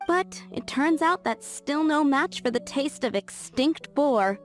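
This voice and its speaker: A woman's voice: sits high, lively intonation, measured pace, soft background music. A woman voicing a proud but gracious rival chef in a video game, with dramatic delivery. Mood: crestfallen. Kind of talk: video game dub acting.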